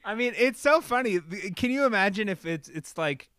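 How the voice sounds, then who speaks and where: A man's voice: high-pitched, lively intonation, moderate pace, sharp tone, clean tone, dry recording, studio quality. A man co-hosting a podcast, chatting casually in a playful, dramatic way, laughing often. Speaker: podcast co-host. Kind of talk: casual chat.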